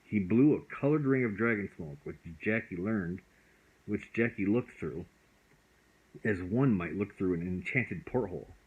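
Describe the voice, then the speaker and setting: A male storyteller reads a bedtime tale aloud. His voice sits fairly low with a husky edge. He is expressive, moving freely through his range. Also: measured pace, phone-quality sound.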